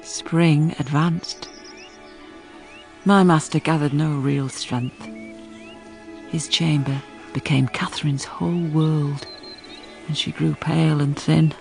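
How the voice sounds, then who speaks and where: An actress playing a sensible, stern but loyal housekeeper who narrates a gothic audio drama in a measured, plain-spoken way. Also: female voice, sits low, steady tone, creaky, a little mellow, soft background music, heavily acted. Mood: grave, measured.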